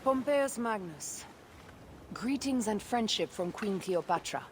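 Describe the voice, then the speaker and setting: An actress playing a resolute, formal royal envoy in a video game, firm and persuasive. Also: female voice, mid-range voice, lively intonation, a little slow, noisy background, lightly acted. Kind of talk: video game cutscene acting.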